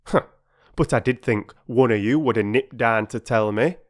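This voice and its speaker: A man's voice: mid-range voice, moderate pace, pitched above the performer's own voice, lightly acted. A man voicing a huffy working-class railway porter who talks in a lofty, sulky way with clipped dialect speech. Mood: indignant.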